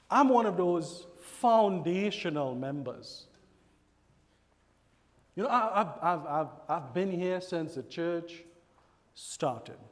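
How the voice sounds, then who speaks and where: A Trinidadian man preaching in a church, his voice sitting high for a man. He is animated and slips into quoted voices of congregation members. The sound carries a long echo from the hall. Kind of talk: sermon. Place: church sanctuary.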